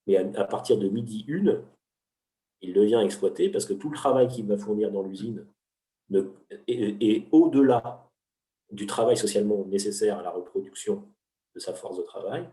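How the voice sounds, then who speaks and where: A man's voice: on the low side, normal speed, warm tone. A man, an economics teacher, explaining ideas patiently in an interview, with frequent hesitations and restarts. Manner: didactic, many hesitations and restarts. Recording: roomy, from a distance.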